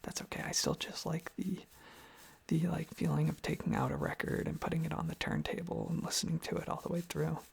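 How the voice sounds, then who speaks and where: Someone rambling softly in a breathy, husky ASMR whisper close to the microphone, casually repeating little phrases. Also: medium pitch, level tone, fairly quick. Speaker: ASMR video creator.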